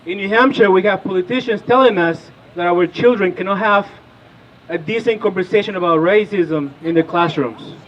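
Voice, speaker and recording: A man in his thirties from Peru speaks at an outdoor rally, pushing the crowd with questions. His voice sits high for a man, and the recording is muffled and dull. A faint hiss runs under him. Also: normal speed. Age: 30s. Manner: rousing, asks the crowd questions. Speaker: rally speaker, political organizer. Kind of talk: impassioned rally speech.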